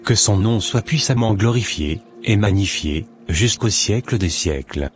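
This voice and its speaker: Casting: male actor as devout, forgiving cartoon husband. Low-pitched, earnest, measured delivery, light music underneath. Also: expressive. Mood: grateful, contrite.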